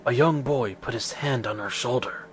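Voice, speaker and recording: A man's voice: medium pitch, normal speed, background noise. A man reading dramatic scripted narration for a story.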